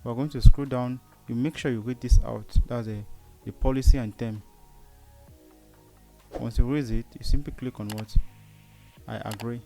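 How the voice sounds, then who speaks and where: A man's voice: mid-range voice, steady tone, normal speed, pauses often, warm tone, soft background music. A man with a Cameroonian accent hosts a step-by-step tutorial, often asking a short question and answering it himself. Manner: asks rhetorical questions and answers them. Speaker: tutorial host. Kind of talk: step-by-step screen tutorial narration.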